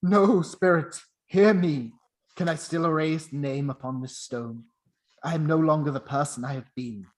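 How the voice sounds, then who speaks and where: A man's voice: on the high side, normal speed, lightly acted. A man plays a frightened old miser in an amateur radio play. He pleads earnestly and dramatically, a repentant soul begging a spirit for another chance. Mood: desperate pleading.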